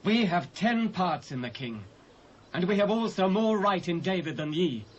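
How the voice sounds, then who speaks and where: Angry high british tribesman, accusing, bible drama, dull tape sound. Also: expressive, normal speed. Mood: indignant. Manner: accusing, declamatory. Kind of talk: dramatised scripture acting. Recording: muffled, faintly noisy cassette.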